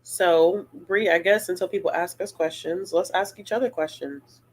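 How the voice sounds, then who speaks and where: A woman hosting a casual live-stream Q&A, talking in a relaxed, rambling and playful way. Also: fairly low pitch, normal speed, husky, rough recording. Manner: laid-back, rambling, playful. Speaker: booktuber host of a live Q&A.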